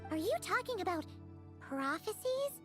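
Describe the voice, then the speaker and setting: Super high girly voice, lively eager young fae from a video game, music behind. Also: normal speed. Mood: curious, hushed. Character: eager, insistent young bird-winged fae girl naturalist. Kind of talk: animated video game voice acting.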